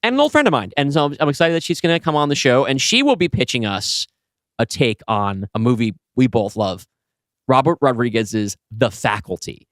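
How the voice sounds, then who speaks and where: A man's voice: mid-range voice, lively intonation, brisk, a bit bright, no echo, studio quality. A man co-hosting a podcast in casual conversation, speaking in an emphatic, enthusiastic way. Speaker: podcast co-host and writer.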